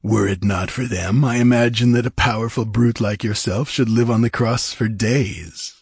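A man's voice: on the low side, a little slow, breathy edge, dry recording, studio quality, exaggerated voice. A man voicing a smug, cruel military commander who taunts with formal courtesy, in an audiobook. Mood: smug gloating. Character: mocking, cruel military commander gloating over his victim. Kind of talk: audiobook character voice.

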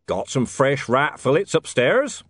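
A man voicing a gruff, practical male dwarf in an audiobook, blunt and muttering in delivery. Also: mid-range voice, big pitch swings, measured pace, no room sound, pitched above the performer's own voice. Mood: grumbling.

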